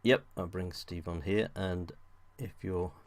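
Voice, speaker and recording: A man's voice: deep voice, steady tone, a little slow, no room sound. A man hosting a live online audio show, chatting casually and loosely with many ums and restarts as he brings guests on.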